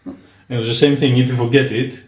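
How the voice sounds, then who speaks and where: A man's voice: mid-range voice, steady tone, fast talker, darkish tone, recorded from a distance. A man with a Greek accent, the host, chatting and teasing in a kitchen. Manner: teasing, playful. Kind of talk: casual kitchen chat. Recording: roomy sound, off-mic.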